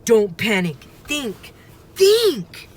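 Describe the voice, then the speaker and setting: A woman voicing an anxious, excitable young boy in a children's storybook, with urgent exclamations. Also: a little high, drawn-out words, breathy edge, pitched above the performer's own voice, full character voice. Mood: nervous, psyching up.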